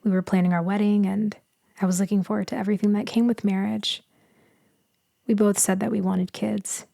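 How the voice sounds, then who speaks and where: A woman playing a gentle, melancholy immortal female vampire in a sleep ASMR roleplay, speaking softly and intimately, often slipping into a whisper. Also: medium pitch, little pitch movement, no echo, clean studio sound, close to an everyday voice. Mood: nostalgic. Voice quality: soft, breathy, often whispering. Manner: slow, hushed, wistful, intimate.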